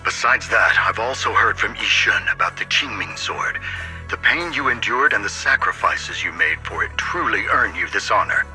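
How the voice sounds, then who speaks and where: Formal game statesman: earnest, measured, sharp forceful tone, lowish register, radio-call sound. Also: lively intonation, soft background music, rough recording. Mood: formal, sincere. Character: earnest dignified male mayor bestowing an honor. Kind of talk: formal scripted game dialogue.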